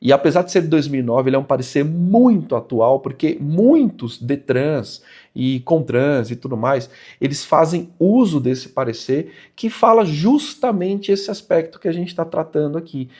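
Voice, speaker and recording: A man's voice: fairly high pitch, expressive, normal speed, mellow. A man with a Brazilian Portuguese accent gives explanatory advice to viewers as a vlog host, in an emphatic, didactic way, repeating key phrases for weight.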